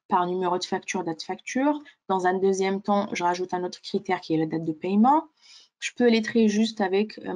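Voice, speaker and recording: A woman giving an unscripted webinar demonstration of a software tool, explaining it step by step. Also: medium pitch.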